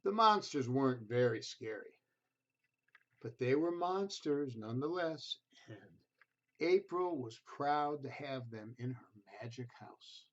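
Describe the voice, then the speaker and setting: A man reading a children's story aloud in an expressive, deliberate way, speaking directly to his listeners. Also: medium pitch, muffled recording.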